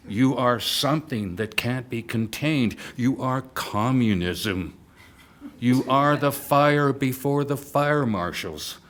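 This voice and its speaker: A man reading free verse aloud in a measured, deliberate manner. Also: mid-range voice, fairly bright tone. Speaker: poet reading at a reunion event. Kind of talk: poetry reading.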